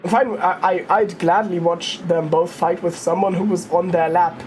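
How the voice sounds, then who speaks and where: A woman's voice: lowish voice, steady tone, moderate pace, a little mellow, slight background noise, rough recording. A woman giving casual live commentary on a sim race, thinking aloud and correcting herself as she goes. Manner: informal, thinking aloud, hesitant self-correcting.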